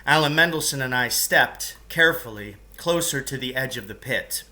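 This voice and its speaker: A man narrates a storybook with a strikingly bright, sharp-edged voice at a middle pitch. His reading is expressive and clearly paced out for listeners following a novel. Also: normal speed. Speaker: teacher reading a novel aloud.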